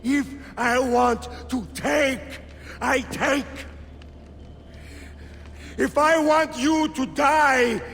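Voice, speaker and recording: A high, raging Italian tyrant. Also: male voice, fairly even intonation, drawn-out words, vocal fry, pauses often, in a large hall, slight background noise, heavily acted. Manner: imperious, menacing, prone to shouting. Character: megalomaniac villainous Italian nobleman, arrogant and violent. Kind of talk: dramatic video game cutscene acting.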